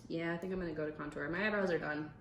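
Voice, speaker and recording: A young woman explains her makeup steps in a chatty, upbeat way, her voice sitting a little low. Her voice echoes in the room, with faint noise under it. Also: steady tone, very fast. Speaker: makeup vlogger. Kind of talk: casual makeup tutorial chat. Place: indoor room at a makeup table.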